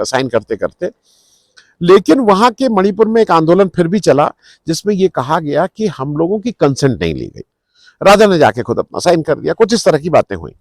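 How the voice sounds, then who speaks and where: Man, middle-aged, high voice, very lively intonation, conversational news commentary, explanatory. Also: no room sound. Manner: conversational, explanatory, Hindi mixed with English words. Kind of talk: unscripted political commentary, explaining to viewers.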